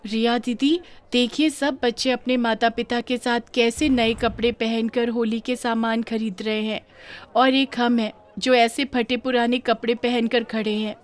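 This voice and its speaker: A woman's voice: a little high, level tone, unhurried, natural voice. A woman voicing an earnest young village daughter who pleads with feeling in a cartoon moral story. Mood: sad, pleading.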